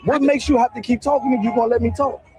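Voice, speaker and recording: A man's voice: very high-pitched, fairly even intonation, rapid-fire, warm tone, faint music behind. A man preaching in a heated street debate, insistent and repeating his phrases to hold the floor.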